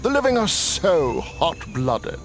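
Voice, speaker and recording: An actor playing a sly old necromancer in a video game, mockingly courteous and gleefully macabre, with a theatrical drawl. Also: male voice, a little high, big pitch swings, slow, over music. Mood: scheming. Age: older. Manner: theatrical, drawling, mock-sweet. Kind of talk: video game cutscene acting.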